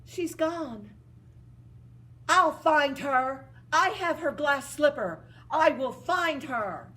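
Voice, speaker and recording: A woman's voice: high voice, expressive, drawn-out words, darkish tone, voice pushed up, exaggerated voice. A woman voicing an earnest, determined young prince in her own storytelling voice.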